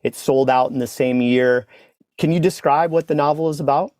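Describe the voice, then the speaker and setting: A man hosting an interview, asking prepared questions in a measured way. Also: medium pitch, steady tone, a little mellow, no room sound.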